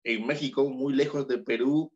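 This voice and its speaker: A man's voice: medium pitch, steady tone, moderate pace, a little mellow, highs cut off. A Peruvian man with a Peruvian Spanish accent, telling anecdotes in casual chat with hesitant restarts. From Peru. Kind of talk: casual storytelling.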